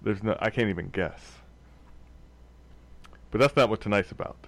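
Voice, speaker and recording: Low-voiced rambling male podcast host. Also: fairly bright tone. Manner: rambling, laughing, slow then quick. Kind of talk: casual comedy chat.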